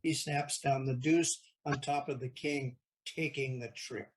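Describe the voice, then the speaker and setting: A man's voice: mid-range voice, fairly even intonation, unhurried. A man narrating an audio play, reading out scripted stage directions.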